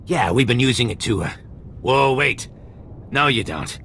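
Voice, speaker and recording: An actor playing a cowardly pirate medic in a video game, blustering and stammering. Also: male voice, mid-range voice, animated, measured pace, fairly bright tone, slight background noise. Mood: nervous. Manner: blustering then backpedaling, stammering. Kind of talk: game cutscene acting.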